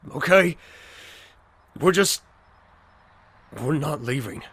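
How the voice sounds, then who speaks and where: A man's voice: fairly high pitch, expressive, slow, a bit bright, a little hiss or hum. A man playing a guarded, abrasive young survivor in a zombie apocalypse audio drama, curt, defensive and foul-mouthed. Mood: curt, irritable. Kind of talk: fan audio drama acting.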